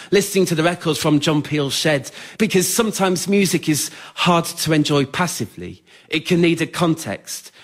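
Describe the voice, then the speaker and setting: A man performing a scripted comic monologue on a theatre stage for radio, in an understated, self-deprecating, earnest way. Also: a little high. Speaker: writer-performer storyteller. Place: theatre stage before an audience.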